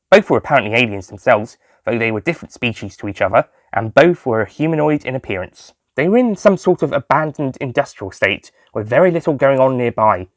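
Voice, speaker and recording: A man's voice: mid-range voice, no echo. A man reading scripted story narration as the narrator of an audio tale. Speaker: author and narrator.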